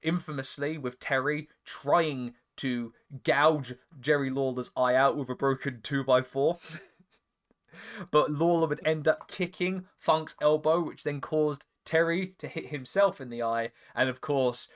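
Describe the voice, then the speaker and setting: A man's voice: fairly high pitch, unhurried, fairly bright tone. A man with an English estuary accent, hosting a podcast in casual chat, talking loosely with frequent laughs and restarts. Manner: chatty, laughs often, stumbles and restarts. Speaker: podcast host.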